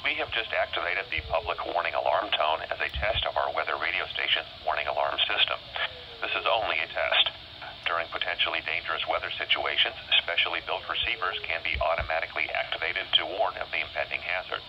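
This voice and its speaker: A man with a very low voice reads a formal public warning test in measured phrases, as a weather radio announcer. The sound comes through a radio, muffled and dull, with clear noise over it. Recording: muffled weather radio re-recorded in noisy room.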